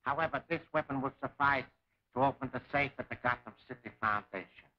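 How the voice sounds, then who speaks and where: A man's voice: medium pitch, fairly quick, close to an everyday voice. A man playing a cold, commanding enemy scientist in a 1940s film serial, speaking formally and precisely. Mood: sinister, assured. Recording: dull lo-fi 1940s serial soundtrack.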